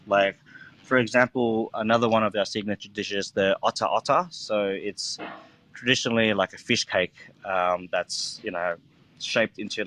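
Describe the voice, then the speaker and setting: A man's voice: fairly low pitch, low-quality recording. An Australian man in his thirties, a chef talking casually in an interview, rambling with lots of fillers. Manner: relaxed, rambling, many fillers like "like" and "you know". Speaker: chef and restaurant owner.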